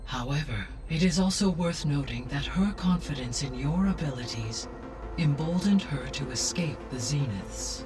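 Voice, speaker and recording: An actress voicing a calm, wise female AI that speaks in a measured, formal way. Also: low-pitched. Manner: measured, formal, precise diction. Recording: distant voice over loud music.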